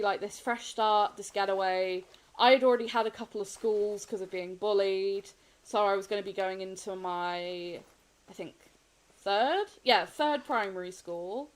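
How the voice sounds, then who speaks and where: A woman streaming a game, sniffly, chatting candidly and rambling with frequent soft laughs. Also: mid-range voice, rough recording. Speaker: gaming streamer. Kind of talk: casual chat while gaming.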